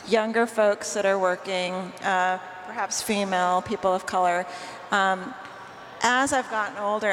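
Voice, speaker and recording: A woman's voice: mid-range voice, fairly bright tone, slight background noise. Woman panelist, reflective with frequent fillers, long hall reverb behind her. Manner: thoughtful, many fillers. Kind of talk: panel discussion. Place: large conference hall stage. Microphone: handheld mic. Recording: hall reverb, faint ambience.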